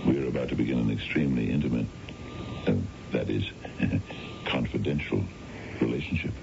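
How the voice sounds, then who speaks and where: Very deep, gravelly, courtly gentleman. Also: noisy background. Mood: suave, flirtatious. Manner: formal, measured, suave. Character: smooth, courtly male investment adviser, quietly seductive. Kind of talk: 1970s radio drama acting. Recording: dull old radio broadcast.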